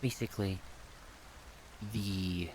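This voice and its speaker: A man rambling in a soft-spoken ASMR style, unscripted, thinking aloud in halting short phrases. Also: sits low, steady tone, background noise. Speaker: ASMR video creator.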